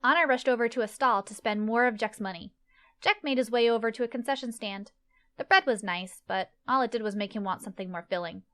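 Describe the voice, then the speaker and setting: A woman's voice: fairly high pitch, brisk, fairly bright tone. A woman reading scripted narration for a fantasy story as its narrator.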